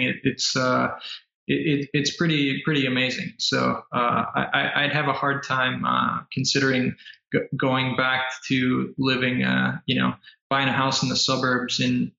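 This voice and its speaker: A man's voice: mid-range voice, vocal fry, bright, forceful tone, echoey room, lo-fi sound. An American man, a guest entrepreneur, chatting casually and hesitantly with many fillers and restarts over a remote call. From United States. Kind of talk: casual interview chat. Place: apartment in Manila.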